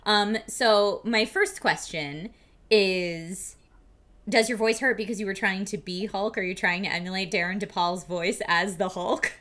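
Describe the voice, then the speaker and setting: A woman's voice: mid-range voice, a little slow. A woman co-hosting a casual video-game chat show, talking in a chatty way and asking many questions. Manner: chatty and animated, asks lots of questions. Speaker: co-host.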